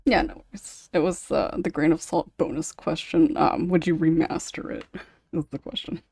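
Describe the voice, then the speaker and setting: Woman podcast host, lower-pitched, dry close sound, casual Q&A delivery. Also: steady tone, normal speed, warm tone, breathy edge, no echo. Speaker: podcast host and script writer. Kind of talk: casual panel Q&A chat.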